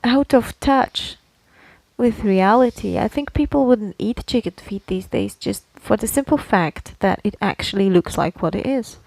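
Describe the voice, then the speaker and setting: A woman's voice: mid-range voice, animated, a little slow, a little mellow, dry recording, poor audio. A woman from Slovakia, co-hosting a casual podcast and explaining things in a chatty way, often checking that her listener follows. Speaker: podcast co-host. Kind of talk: casual conversational podcast chat.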